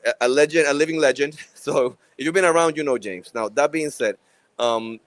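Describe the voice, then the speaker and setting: A man with a Puerto Rican accent co-hosting a podcast at a live event, playful and quick to joke and laugh. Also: on the high side. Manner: playful, joking, laughs often. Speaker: podcast co-host. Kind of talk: casual chat at a live event.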